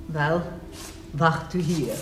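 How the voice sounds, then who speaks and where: An actress playing a stern, pious convent head with a formal, disapproving manner in a period audio drama. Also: female voice, low voice, extremely slow. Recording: echoey, distant, noisy background.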